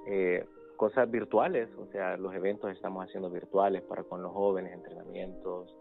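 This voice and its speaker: Low-voiced man, muffled recording. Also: level tone, gravelly, soft background music. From El Salvador. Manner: halting, repeats words while searching for phrasing. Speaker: interview guest, youth ministries pastor. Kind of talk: casual spoken testimony.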